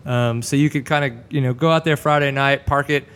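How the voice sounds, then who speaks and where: A man's voice: medium pitch, fairly even intonation, fairly quick, fairly bright tone, clean tone. A man in his thirties with a Texan accent, hosting a casual podcast chat, laid-back and jokey, swearing freely. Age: 30s. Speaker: podcast host.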